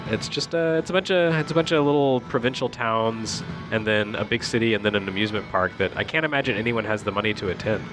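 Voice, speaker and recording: A man with a lowish voice talks off the cuff as a video game critic. He is opinionated and conversational, ready to banter and argue a point. Game music plays clearly behind him. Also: quick pace, few pauses. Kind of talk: casual off-the-cuff commentary on game cutscenes.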